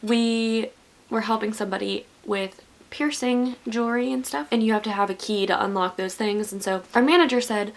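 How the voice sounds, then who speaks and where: A woman's voice: on the high side, normal speed. A woman in her twenties telling a story in a rambling, chatty way while getting ready. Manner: rambling, chatty with many likes. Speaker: vlogger.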